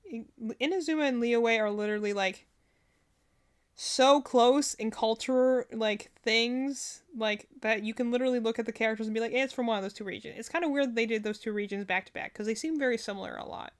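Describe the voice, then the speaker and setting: A woman hosts a casual reaction stream about video games. Her voice is on the high side. She talks informally and rambles, working out her opinions aloud. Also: brisk, clear, resonant tone. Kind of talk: casual live reaction commentary on video game trailers.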